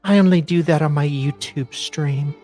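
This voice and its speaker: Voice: mid-range voice, moderate pace, darkish tone, soft background music, lightly acted. A performer voicing an aggrieved, self-righteous woman in a melodramatic, pompous dramatic reading. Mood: pompous indignation.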